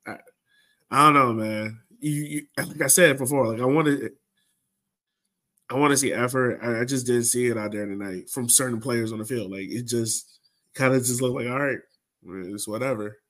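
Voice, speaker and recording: A man's voice: mid-range voice, moderate pace, a bit bright. Casual American man hosting a podcast. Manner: informal, colloquial, conversational. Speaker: sports podcast host. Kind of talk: casual soccer fan discussion.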